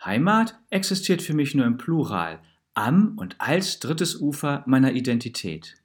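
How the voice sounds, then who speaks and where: Man reading as an earnest, animated well-travelled mother. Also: medium pitch, unhurried. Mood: passionate, reflective. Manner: earnest, reflective, eloquent. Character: passionate, well-travelled Portuguese mother musing on home. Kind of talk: storybook narration in character.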